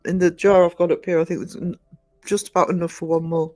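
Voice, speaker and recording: A croaky man hosts a casual live stream from home, rambling along with plenty of ums and greeting viewers by name. His voice sits a little higher than most men's. Soft music plays faintly behind him. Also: flat delivery, fast. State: croaky from just waking up. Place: indoors at home. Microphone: desk microphone.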